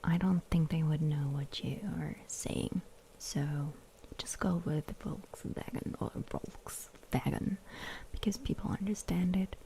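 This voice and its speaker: Woman ASMR streamer, whispers close to the mic, slow and mellow, replies to chat. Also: sits low. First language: German. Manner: whispering, reading out and answering chat. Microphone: sensitive ASMR microphone.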